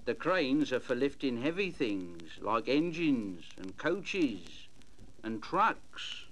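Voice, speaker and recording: A man voicing a patient male engine driver in a storybook, steady and reassuring. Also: medium pitch, slow pace. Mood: explaining. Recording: noisy old storybook LP.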